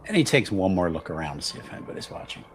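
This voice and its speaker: A man's voice: deep voice, big pitch swings, rapid-fire, slight background noise. A man chatting casually as a player in a tabletop roleplaying game.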